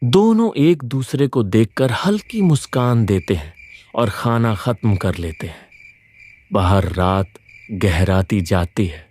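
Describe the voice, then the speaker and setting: A man's voice: on the low side, lively intonation, slow pace, darkish tone. A man narrating a scripted story as its storyteller.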